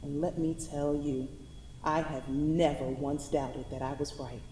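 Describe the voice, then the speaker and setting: A woman's voice: low-pitched, fairly even intonation, unhurried, mellow. A woman playing a devoted wife tenderly and earnestly reading a farewell letter in a staged reading. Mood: loving. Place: theatre hall. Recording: echoey hall with audible noise.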